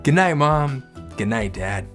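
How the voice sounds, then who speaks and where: A man who co-hosts a children's storybook show, reading and bantering comically with theatrical swings between soft delivery and sudden shouts. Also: medium pitch, lively intonation, unhurried, faint music behind. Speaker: comedian co-host of a children's read-aloud show. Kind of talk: comedic storybook read-aloud with banter.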